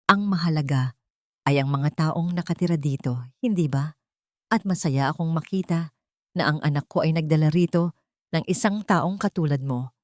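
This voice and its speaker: A kindly older mother, voiced by a woman narrator speaks in an audio drama. She is welcoming and gentle toward her guest. The voice sits slightly low and is recorded dry. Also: moderate pace, no room sound, close to an everyday voice. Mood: warm, welcoming.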